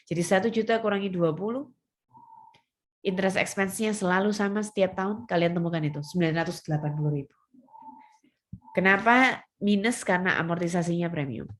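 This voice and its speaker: A woman's voice: lowish voice, brisk, a little hiss or hum. A woman lecturing students step by step, stressing key terms and answering her own questions. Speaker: accounting lecturer.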